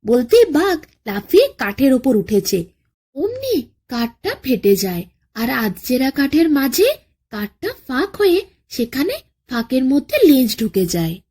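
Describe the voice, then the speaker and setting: A woman narrating a children's bedtime folk tale as a storyteller, in an expressive, dramatic way. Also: sits high, measured pace, husky.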